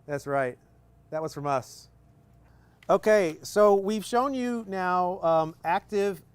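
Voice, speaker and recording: Man in his thirties, slightly high voice, easygoing demo presenter who talks things through and cracks small jokes; recorded under an outdoor tent. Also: clean tone. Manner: casual, joking, explains step by step with many fillers. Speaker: presenter at a live equipment demonstration. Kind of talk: live technical demo talk.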